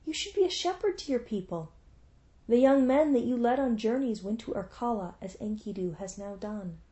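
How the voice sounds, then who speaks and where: Sad gentle royal mother pleading softly, a woman reading her lines, a bit higher. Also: expressive, normal speed, lightly acted. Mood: tender. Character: tender, sorrowful royal mother.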